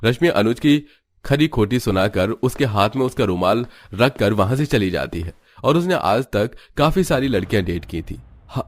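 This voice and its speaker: A man narrating a scripted story. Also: fairly low pitch. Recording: music bed under voice. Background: background music.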